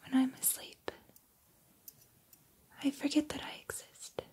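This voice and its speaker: A woman voicing a gentle, encouraging combat training robot in a soft, breathy, measured ASMR roleplay whisper. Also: sits high, flat delivery. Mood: quiet, curious.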